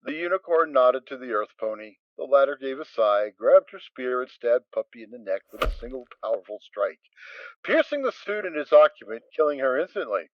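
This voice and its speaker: A man narrating a fan audio drama, reading with expressive storytelling. The audio is dry and slightly dull. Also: mid-range voice, brisk, dry recording.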